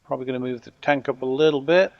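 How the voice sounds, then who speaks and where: Gameplay host, middle-aged guy with a muddled British-American accent, dry and joking, mid-pitched, dull lo-fi sound. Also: racing. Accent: mixed British and American. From Britain. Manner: relaxed, wry, talks to his troops jokingly. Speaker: gameplay commentator. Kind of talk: casual strategy game commentary. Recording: dull lo-fi audio.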